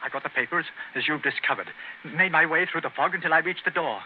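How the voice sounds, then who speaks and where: High-pitched, desperate colonel played by a man. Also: quick pace, natural voice. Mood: confessing. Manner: agitated, pleading, faltering. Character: desperate, guilt-ridden gentleman traitor, a colonel. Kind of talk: 1930s radio acting. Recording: tinny, noisy 1930s radio broadcast.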